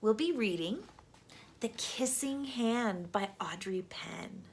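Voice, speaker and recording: A woman hosting storytime, narrating a children's book with deliberate phrasing for young listeners. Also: a little high, lively intonation, a little hiss or hum. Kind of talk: children's storybook read-aloud.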